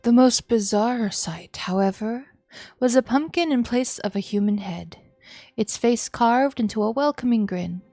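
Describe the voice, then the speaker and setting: A woman streamer reading a visual novel aloud as expressive, dramatic narration. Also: fairly high pitch, unhurried, dry recording. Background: music.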